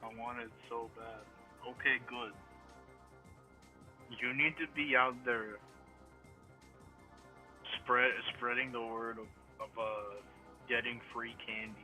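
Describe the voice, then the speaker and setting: A man's voice: mid-range voice, fairly even intonation, slow pace, pauses often, bright, forceful tone, faint music behind, low-quality recording. A man in casual, joking chat with a friend on a livestream, crude and sweary.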